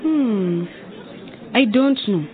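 Radio drama: hesitant schoolgirl singer, higher pitch, candid, narrowband sound. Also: animated, very slow. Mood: defiant, doubtful. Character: hesitant schoolgirl singer, rival who turns friend.

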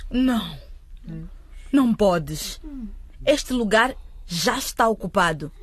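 A woman's voice: medium pitch, lively intonation, slow. A woman acting a snooty, spiteful teenage schoolgirl in a radio drama, haughty and sarcastic. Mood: dismissive.

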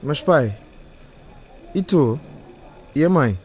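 An actor playing a worried, pleading teenage son in a radio drama. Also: male voice, a little high, animated, darkish tone. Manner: pleading, halting.

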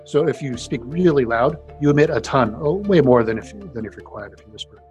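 A man with a deep voice, a scientist explaining his research in an interview in a casual way, with many fillers and restarts. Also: lively intonation, fairly quick, darkish tone, faint music behind.

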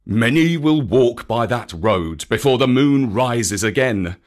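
A narrator voicing a big gruff old bear in a storybook, emphatic and plain-spoken. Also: medium pitch, animated, takes it slowly. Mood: wry.

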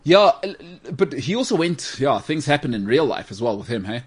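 A man with a South African accent hosting a talk show, giving opinions in casual, slangy, animated banter. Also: medium pitch, a little fast, slightly rough. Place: studio.

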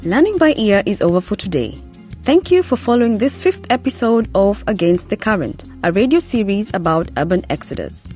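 Animated, mellow female radio presenter. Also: mid-range voice, brisk. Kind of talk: scripted radio outro narration. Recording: music bed under the voice. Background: faint music.

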